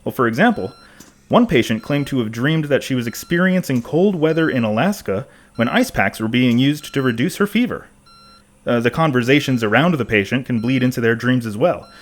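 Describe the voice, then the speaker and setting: A man's voice: mid-range voice, lively intonation, brisk, close to an everyday voice. An actor playing a pensive, rambling male podcast host in a conversational scripted audio monologue.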